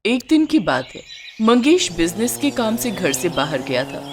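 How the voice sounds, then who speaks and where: A woman's voice: medium pitch, moderate pace, background noise. Woman narrating a cartoon moral story. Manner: clear, expressive storytelling.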